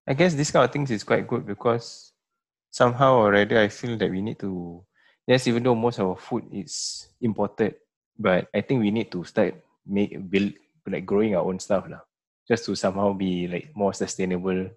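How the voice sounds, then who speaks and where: Gravelly low Singaporean man, easygoing. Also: steady tone, moderate pace. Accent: Singaporean English. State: coughing from haze. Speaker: podcast co-host. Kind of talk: casual chat.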